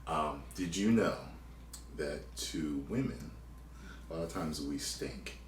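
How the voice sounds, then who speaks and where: A man's voice: very deep voice, takes it slowly. A man hosting a loose, casual chat, explaining things at length and swearing now and then. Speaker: channel host. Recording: roomy and distant with faint noise.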